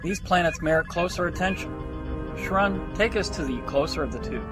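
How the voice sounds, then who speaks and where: A man's voice: sits high, animated, normal speed, loud music behind the voice. A man acting as a composed, curious starship captain in a science fiction audio drama, commanding with dry humor.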